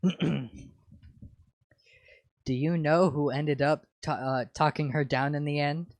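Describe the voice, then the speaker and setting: A man's voice: fairly high pitch, fairly even intonation, slow, dry recording. A man co-hosting an informal fan fiction reading, mixing casual chat with amateur reading aloud.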